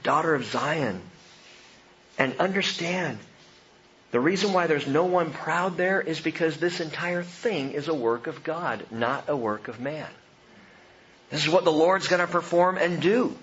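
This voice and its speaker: A man preaching a sermon and telling stories with a lot of animation, his voice dropping to a hush and then rising with excitement. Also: a little high, unhurried. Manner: animated storytelling, swings from hushed to excited. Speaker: pastor. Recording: dull lo-fi sound with faint noise.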